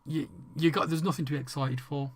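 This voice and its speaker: A man's voice: fairly high pitch, steady tone, a little fast. A man with an English accent chatting casually with viewers during a game livestream, rambling, restarting sentences and swearing freely. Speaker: streamer.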